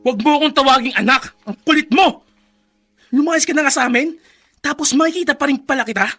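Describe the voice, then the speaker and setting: A bitter, hot-headed young Filipino man in a radio drama. The voice is high, sharp and forceful, brash in its delivery, with music underneath. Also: fast, heavily acted. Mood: furious, accusing. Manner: brash, accusing, emphatic.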